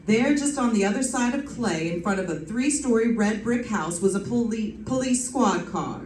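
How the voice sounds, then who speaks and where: A wry, hardboiled female private eye acted by a woman in an old-time radio play. She is brisk, bossy and never flustered, telling her case and giving orders. The sound is roomy and picked up from a distance, with faint noise. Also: medium pitch, darkish tone, lightly acted. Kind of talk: old-time radio detective narration and dialogue. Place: live stage performance, recorded from a distance.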